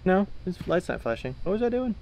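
A man's voice: sits high, big pitch swings, brisk, creaky, a little mellow, slight background noise, poor audio. A man giving casual, joking and swearing live commentary while playing a co-op horror game as a streamer. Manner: jokey, profane.

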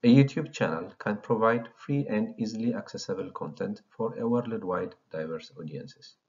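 A man's voice: lowish voice, moderate pace, darkish tone. A man from Ethiopia in his thirties, founder of an educational channel, reading a slide presentation in a formal lecturing tone. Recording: dull lo-fi voice recording.

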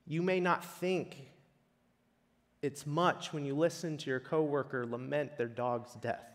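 A man preaching a sermon in a measured way, leaning on emphatic repetition. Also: on the high side, fairly even intonation. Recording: long reverberant hall echo.

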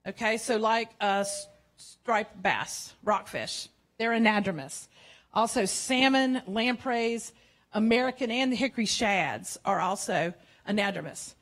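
An older woman gives a relaxed science cafe lecture to a seated crowd. She talks in a chatty, playful way with frequent self-corrections. Her voice comes through a handheld microphone in a large museum hall. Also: mid-range voice, a little slow. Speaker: marine educator giving a public science talk.

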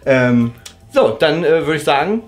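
A man in his thirties from Germany hosting a relaxed question-and-answer chat in a living room, talking casually and laughing often. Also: a little high, unhurried. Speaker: host.

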